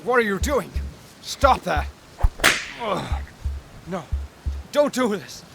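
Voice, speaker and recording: A man's voice: high-pitched, sing-song, slow, gravelly, very noisy, exaggerated voice. A man playing a frightened, bewildered older police commissioner in a radio drama, groaning and pleading. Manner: groaning, pleading, breathless exclamations.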